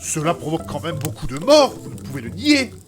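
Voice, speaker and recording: An actor playing a prim, easily shocked gentleman in a comedic audio drama, speaking formally and preciously. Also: on the high side, big pitch swings, a little fast. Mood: appalled. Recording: music behind the voice.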